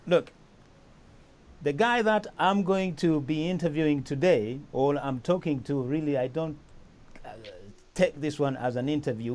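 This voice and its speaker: A man hosting a casual music interview, talking with enthusiasm and often repeating himself. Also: fairly high pitch. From East Africa. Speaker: host. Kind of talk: casual chat.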